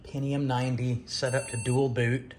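A middle-aged man talks casually through a home show-and-tell in a medium-pitched voice. He speaks off the cuff and often pauses mid-sentence. There is some room noise behind him, as from a camera set a little way off indoors. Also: level tone. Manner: halting, pausing mid-sentence. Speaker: retro computer vlogger.

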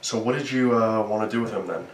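A man's voice: on the low side, quick pace, lightly acted. A young man playing a subdued, hesitant friend in a naturalistic amateur found-footage film. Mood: casual, low-key. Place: small wood-panelled room indoors. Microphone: camera mic at a distance. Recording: echoey, noisy camcorder sound, distant mic.

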